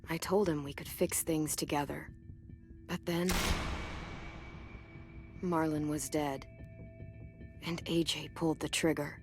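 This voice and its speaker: A woman playing a tough, protective teenage girl survivor in a dramatic video game, speaking in a sober, measured way. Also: low-pitched, fairly even intonation. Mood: grim recounting. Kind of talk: naturalistic dramatic game acting. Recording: music under the voice.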